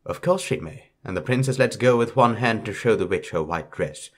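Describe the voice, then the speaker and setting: A man's voice: fairly low pitch, lively intonation, moderate pace, a bit bright. A man reading a fairytale aloud as a storyteller, in scripted narration.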